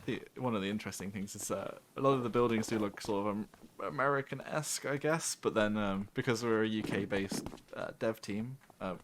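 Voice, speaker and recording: A man's voice: fairly low pitch, a bit bright, slight background noise. A man, a game developer, talking casually and chattily during a gameplay panel, often laughing as he explains things. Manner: chatty, laughing, many fillers. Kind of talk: casual panel chat while playing.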